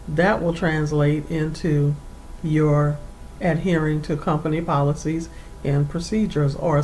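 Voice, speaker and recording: A career coach speaks in a mid-range voice, laying out advice in formal, measured phrases. The delivery is deliberate and instructional, like a prepared lesson. A faint noise and low rumble sit behind the voice. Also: darkish tone, low-quality recording. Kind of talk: instructional advice to camera, semi-scripted.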